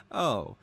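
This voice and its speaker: A man's voice: sits high, slightly rough, heavily acted. Man acting a theatrical, swooping mad scientist villain. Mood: playful. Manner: melodramatic, playfully wheedling, feigned innocence. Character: gleeful, lovesick mad scientist supervillain boss, male, theatrical. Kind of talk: ASMR audio roleplay, scripted character acting.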